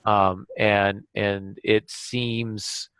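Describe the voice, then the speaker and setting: A man co-hosting a writers' podcast, talking reflectively and casually, haltingly thinking aloud. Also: lowish voice, little pitch movement, fairly bright tone, smooth, dry recording.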